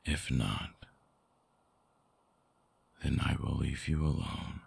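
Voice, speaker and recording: Man playing a very deep, gravelly vampire lover. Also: exaggerated voice. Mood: tender, reluctant. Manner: slow, hushed, coaxing, with long pauses. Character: brooding seductive male vampire, lovesick, possessive, manipulative ex-lover. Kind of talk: intimate ASMR romantic audio roleplay. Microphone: close microphone, dry binaural recording.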